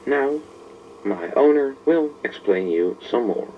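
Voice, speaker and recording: A man's voice: mid-range voice, animated, slow. A man voicing a vintage tape recorder that introduces itself, measuredly reciting its specifications as a scripted presentation. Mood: matter-of-fact. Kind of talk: first-person scripted gadget presentation. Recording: dull, noisy amateur camera audio.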